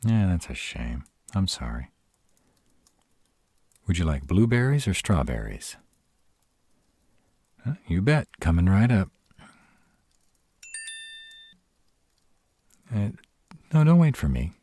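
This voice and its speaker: A man playing a gruff but tender, protective were-dragon boyfriend in a romantic fantasy audio roleplay, speaking to the listener with teasing care and hesitant pauses. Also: deep voice, sing-song, mellow, dry recording, full character voice. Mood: casual, caring. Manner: tsundere, teasing yet caring, hesitant pauses.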